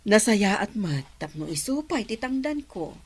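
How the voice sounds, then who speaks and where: A woman's voice: medium pitch, very animated, normal speed, breathy edge. A woman with an Ilocano accent playing a poor, patient, aggrieved village mother in an audio drama. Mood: agreeable. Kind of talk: Ilocano radio-style drama acting.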